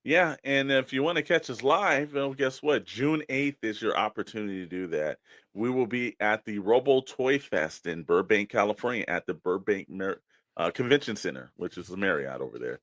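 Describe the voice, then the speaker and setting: A man co-hosting a podcast, chatting casually and pitching straight to the listeners in a conversational way. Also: animated, normal speed, fairly bright tone, no echo. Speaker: podcast co-host. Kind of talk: casual promotional podcast chat.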